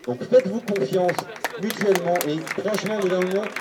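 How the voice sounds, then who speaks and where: A man's voice: sits high, fairly even intonation, fairly quick, distant mic, noisy background. A man giving an earnest, repetitive speech to an open-air assembly under a tarp. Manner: earnest, repeats himself. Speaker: speaker at a public assembly. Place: outdoor square under a tarp.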